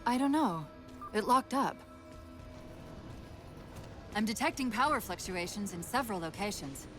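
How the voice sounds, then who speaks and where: An actress plays a female AI companion from a video game, quick-witted and gently wry. She briefs in articulate, technical phrases at a medium pitch. Music runs clearly underneath her voice. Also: lively intonation, lightly acted. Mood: calm, analytical. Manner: brisk technical briefing with dry wit. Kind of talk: video game cutscene voice acting.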